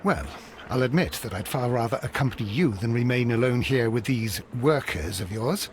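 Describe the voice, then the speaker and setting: A man performs a loyal Victorian English gentleman doctor in a video game. The voice is medium in pitch and lively in its swings, polite and gently sardonic. Faint background noise sits behind it. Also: moderate pace, natural voice. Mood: teasing.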